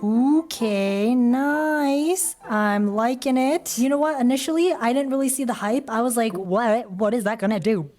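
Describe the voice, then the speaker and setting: A woman's voice: on the high side, measured pace, few pauses, darkish tone, faint music behind. A woman chatting through an art tutorial vlog in an upbeat, bubbly, talkative way. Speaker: art content creator.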